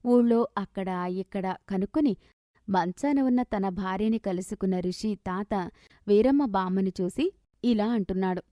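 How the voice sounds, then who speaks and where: Woman narrating a kids moral story, dramatic delivery, soft mellow voice, medium pitch, no room echo. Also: normal speed, studio quality.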